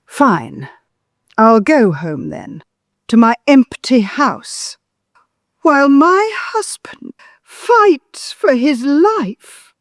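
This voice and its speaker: A woman's voice: high-pitched, very animated, very slow, no echo, clean studio sound, full character voice. An actress playing a bitter, manipulative older mother-in-law in an audio drama, theatrical and guilt-tripping. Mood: wounded, bitter.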